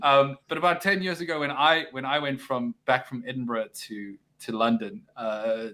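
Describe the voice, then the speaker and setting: A man's voice: mid-range voice, steady tone, normal speed, sharp tone. A man hosting a casual live-stream chat, laughing often and stumbling over repeated words. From South Africa. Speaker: podcast host.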